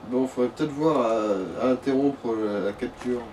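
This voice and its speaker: A man with a dark, relaxed voice of medium pitch chats casually beside someone playing a game. He sounds a little far from the mic, with faint noise around him. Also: moderate pace. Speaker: let's play companion. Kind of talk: casual chat over gameplay. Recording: distant mic with background noise.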